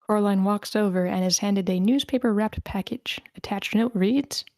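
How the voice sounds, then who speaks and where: A woman's voice: mid-range voice, normal speed, dark tone, no room sound. Dry-sounding woman narrating a screenplay. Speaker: narrator reading stage directions. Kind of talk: screenplay narration at a table read. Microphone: video call.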